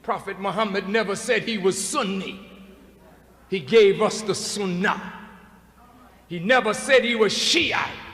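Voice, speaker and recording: A man preaching a fiery sermon to students, shouting in an emphatic preaching cadence in a large hall. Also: sits high, measured pace, long pauses. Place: large hall with a live audience. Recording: echoey hall, crowd noise.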